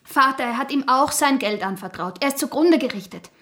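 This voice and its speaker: A proud young shop girl in a radio drama, brusque yet wounded. Her voice sits somewhat high, reproachful and plain-spoken, sinking into hushed murmurs. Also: animated, a little fast. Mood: pleading.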